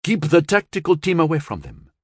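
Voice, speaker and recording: Man voicing a male AI supervisor, curious, brisk, raised voice. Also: fairly high pitch, wildly swooping pitch, no room sound, studio quality, pitched above the performer's own voice. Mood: eager.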